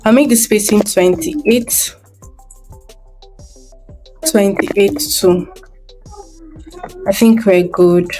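A woman's voice: medium pitch, steady tone, drawn-out words, pauses often, mellow, soft background music, low-quality recording. A woman giving a screen-recorded design tutorial, thinking aloud step by step as she works.

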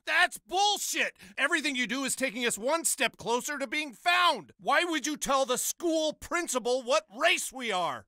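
An actor playing a middle-aged, high-strung American suburban dad in an animated comedy game, foul-mouthed and falsely cheery. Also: male voice, no room sound, clean studio sound, exaggerated voice. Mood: angry. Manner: swings between sugary pet names and shrill cursing.

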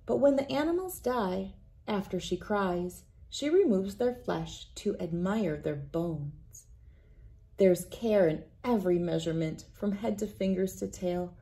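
A woman in her thirties reading a children's picture book aloud in a deliberate, expressive storytelling manner. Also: medium pitch, vocal fry. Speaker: read-aloud host. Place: indoors, wood-panelled room with large windows.

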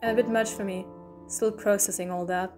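Eccentric, chatty alien heroine played by a woman for a sci-fi audio play, medium pitch over clear music. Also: fairly even intonation, brisk, natural voice. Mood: reflective. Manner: rambling, eccentric. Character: quirky, talkative, self-doubting alien time traveller woman. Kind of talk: fan audio drama acting.

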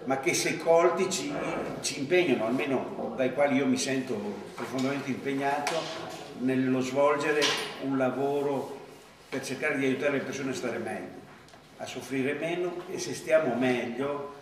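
A man's voice: mid-range voice, a crowd in the background. Hesitant man in an echoey classroom. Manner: hesitant, pausing often with fillers. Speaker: supervisor speaking at a project presentation. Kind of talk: reflective public talk. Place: large classroom with rows of chairs. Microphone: far camera. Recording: echoey room from far off, background noise.

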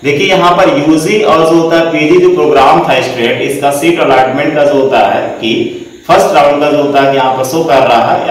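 A young man with a mid-pitched voice explains a website in the way of a tutorial, repeating his stock filler phrases and speaking to the viewers as students. He sits away from the mic, and the small room gives his voice a strong echo. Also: recorded from a distance. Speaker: education channel host. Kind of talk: screen-recorded tutorial.

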